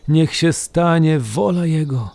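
Man playing a slow, solemn Slavic prince. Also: fairly high pitch, a little mellow. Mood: solemn, reverent. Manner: stately, measured, declamatory. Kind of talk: audio drama acting in archaic, elevated language.

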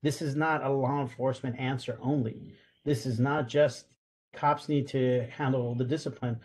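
A man's voice: mid-range voice, fairly even intonation, moderate pace, darkish tone, husky. A middle-aged man speaking earnestly on a video call panel, with many fillers and restarts. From Guatemala. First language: Spanish. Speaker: panelist, school police association president. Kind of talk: online panel discussion. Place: home or office room, video call. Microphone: video call webcam.

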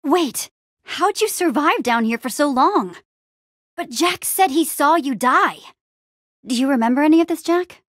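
A woman playing a plucky, bossy but encouraging young adventurer heroine in a video game, with an expressive, casual, peppy delivery. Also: high-pitched, a little slow, dry recording, studio quality. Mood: alarmed, scolding. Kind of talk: animated adventure game dialogue.

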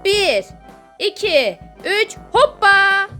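A woman with a very high-pitched voice acts as a mother in a children's pretend-play skit. She is exclamatory and theatrical, often repeating herself, with music playing behind her. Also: big pitch swings, drawn-out words, sharp tone.

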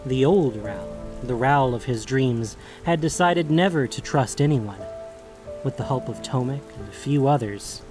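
A man's voice: medium pitch, animated, unhurried, over music. A man reading scripted narration for a fantasy audio drama. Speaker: narrator and podcast host.

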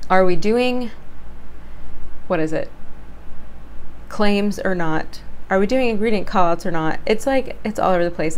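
A woman's voice: mid-range voice, a little hiss or hum. A woman beauty vlogger chatting casually through a product review, rambling and self-deprecating, her voice worn and giving out. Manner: rambling, self-deprecating, stumbles over words.

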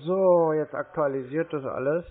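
High-voiced, muddled man on a tinny phone line. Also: background noise. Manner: slow, muddled, stubbornly complaining. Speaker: call recipient stringing scammers along. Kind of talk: prank phone call with scammers.

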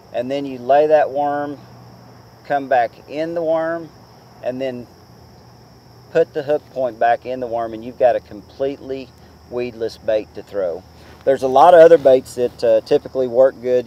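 Relaxed chatty man outdoors, mellow voice. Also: mid-range voice, dull audio. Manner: relaxed, chatty, many "uh" and "you know" fillers. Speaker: pro angler giving fishing tips. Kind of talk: casual how-to talk to camera. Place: outdoors on a boat on a lake.

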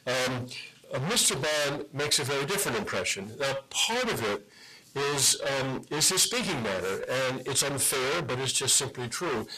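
A middle-aged man giving a lecture to a university audience in a measured way, with frequent "um" pauses. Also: medium pitch, very animated, bright, forceful tone, slightly rough, rough recording. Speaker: guest speaker, journalist.

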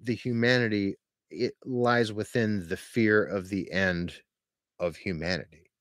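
Deep-voiced earnest male podcast host. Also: steady tone, a little slow, fairly bright tone, no echo, studio quality. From Pennsylvania. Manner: rambling, earnest, many restarts. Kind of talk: casual interview.

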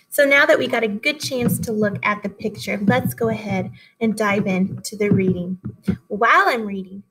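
A woman in her thirties, a teacher giving an expressive, instructive read-aloud lesson for children. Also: fairly high pitch, a little hiss or hum, rough recording. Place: small classroom indoors.

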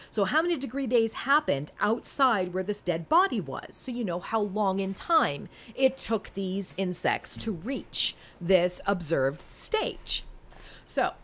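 A woman in her forties giving an informal step-by-step lesson as an instructor, conversational and emphatic, often checking in with rhetorical questions. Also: mid-range voice. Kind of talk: informal screen-recorded lesson, explaining step by step.

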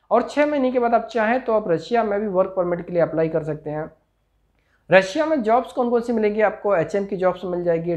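Indian man explaining in a higher-set voice. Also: a little mellow, dull audio. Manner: Hindi mixed with many English terms, explanatory. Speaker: overseas recruitment consultant. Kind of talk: informational promotional talk to camera. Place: small office. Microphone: clip-on lapel mic.

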